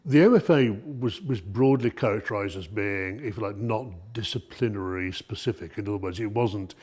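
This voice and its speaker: A staff man recounts planning a degree in a halting way, often repeating words. His voice is husky and mellow. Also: fairly low pitch. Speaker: art school programme staff. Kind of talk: documentary interview.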